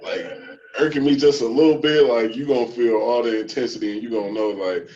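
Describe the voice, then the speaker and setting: A man's voice: medium pitch, fairly even intonation, fairly quick, dark tone, slight background noise. A man chatting casually as a cast member on a group video call. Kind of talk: casual chat. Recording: dull, distant video-call audio.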